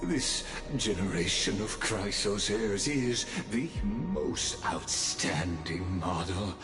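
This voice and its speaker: Grandiose cold game villain, kinda low voice, really swoopy and dramatic, mocking. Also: male voice, lively intonation. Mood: grand, fervent. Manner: theatrical, drawn-out dramatic delivery. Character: grandiose, cold male villain preaching destruction, coolly mocking. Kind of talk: video game story voice acting. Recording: echoey game audio under music.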